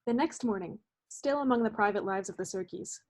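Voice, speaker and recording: A woman's voice: medium pitch, fairly quick. A woman reading scene headings and stage directions as scripted narration for a play. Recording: clearly noisy video-call audio, clicks.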